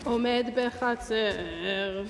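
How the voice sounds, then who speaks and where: Woman, high pitch, chanted character reading, ringing hall. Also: very noisy. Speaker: reader of character lines in a group scripture reading.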